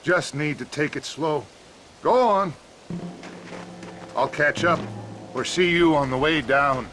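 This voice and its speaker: An actor playing a stoic, terse guide in a western video game. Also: male voice, a little high, slow pace, a bit bright, a little hiss or hum. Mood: pained, stoic.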